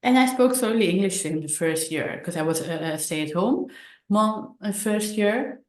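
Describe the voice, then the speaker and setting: A middle-aged woman telling personal stories casually as an interview guest, haltingly, repeating words and restarting sentences. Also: medium pitch, animated. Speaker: podcast guest, author. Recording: roomy echo on the voice.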